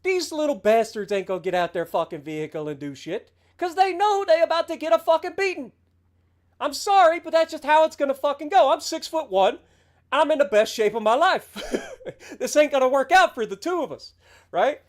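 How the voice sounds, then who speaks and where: A man's voice: extremely high pitch, very animated, a little fast. A man in his late thirties, a game streamer, talking through a heated, sarcastic, heavily profane rant on his livestream. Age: 30s.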